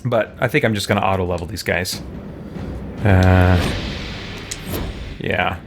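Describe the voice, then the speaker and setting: A man's voice: low-pitched, measured pace, gravelly, over music. A man doing casual live gameplay commentary, thinking aloud and muttering to himself as he plays. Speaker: game streamer.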